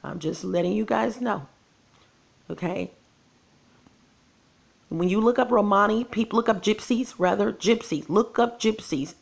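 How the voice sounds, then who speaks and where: A woman's voice: mid-range voice, measured pace, creaky, pauses often. A woman, losing her voice, talking to her viewers in an earnest, repetitive casual vlog monologue. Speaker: channel host.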